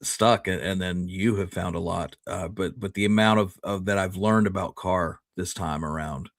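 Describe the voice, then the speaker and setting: Low-voiced man, halting and understated. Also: steady tone, dry recording, studio quality. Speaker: co-host and fellow researcher. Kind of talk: casual chat about research.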